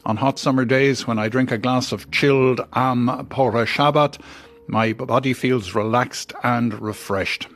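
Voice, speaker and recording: A man's voice: mid-range voice, fairly even intonation, unhurried, fairly bright tone. A man co-presenting a radio show, reading out listener mail with some light banter.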